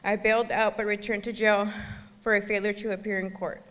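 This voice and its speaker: A woman in her thirties, born in El Salvador, giving an earnest personal testimony at a gala, speaking with occasional halting restarts. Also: medium pitch, flat delivery, a bit bright. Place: gala banquet hall. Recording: long reverb of a large hall.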